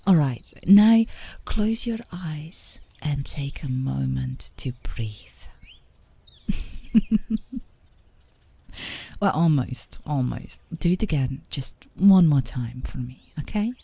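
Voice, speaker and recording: A woman playing an affectionate, teasing girlfriend in an intimate ASMR roleplay, speaking softly and soothingly, often in a whisper, close to the microphone. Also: low-pitched, animated, takes it slowly, long pauses, full character voice. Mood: soothing, encouraging. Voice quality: soft, breathy, often whispering. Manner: soothing, playfully coaxing, with small chuckles. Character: gently coaxing, teasing, affectionate girlfriend on a park date.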